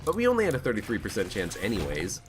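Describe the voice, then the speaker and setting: A man with a stuffed-up, hoarse voice, chatting casually as he plays a video game. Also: on the low side, wildly swooping pitch, very fast. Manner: chatty, thinking aloud while playing. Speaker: gaming channel host. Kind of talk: casual let's play commentary. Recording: background game music.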